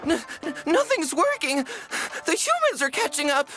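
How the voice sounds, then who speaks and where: High, whiny little spirit child. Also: female voice, very animated, unhurried, over music. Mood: panicked. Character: little timid yokai spirit child, easily panicked. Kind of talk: anime game voice acting.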